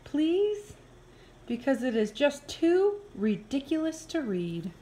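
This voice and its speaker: A woman reading a children's picture book aloud in an expressive, playful way, with exaggerated reactions. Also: high-pitched, takes it slowly, a little hiss or hum. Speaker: early childhood program coordinator reading aloud. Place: indoors, filmed over an open book.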